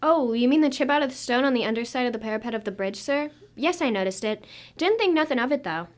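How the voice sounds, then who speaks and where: A plain-spoken country police sergeant in a radio mystery, played by a woman. The voice is somewhat high, and the manner is folksy, deferential and a bit suspicious. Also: lively intonation, quick pace, lightly acted. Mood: matter-of-fact. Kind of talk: radio drama acting.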